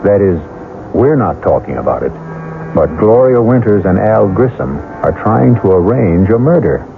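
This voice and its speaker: Male mystery-series host: low register, lively intonation, knowing scripted narration, narrow-band radio sound with music underneath. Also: moderate pace, vocal fry. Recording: dull old radio broadcast over music.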